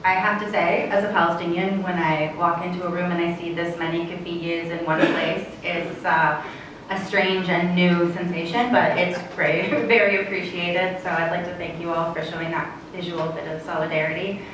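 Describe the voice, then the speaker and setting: A woman's voice: on the low side, steady tone, a bit bright, slight background noise. Woman from Canada, panel speaker, earnest, heavy hall echo, distant mic. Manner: earnest, measured, frequent fillers. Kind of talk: conference panel talk. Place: conference hall.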